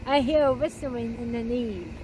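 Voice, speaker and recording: A woman's voice: fairly high pitch, animated, normal speed. A woman reading a children's story aloud in a recording with noisy ambience.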